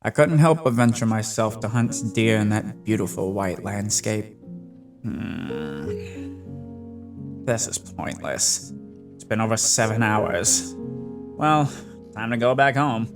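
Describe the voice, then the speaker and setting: A man voicing a suave, genteel, coolly menacing gentleman hunter in a dramatic comic dub, with wordy courtly phrasing. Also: fairly low pitch, takes it slowly, pauses often. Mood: fond, reflective, then weary, puzzled. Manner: genteel, wordy, calls people dear and darling. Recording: voice over clear background music.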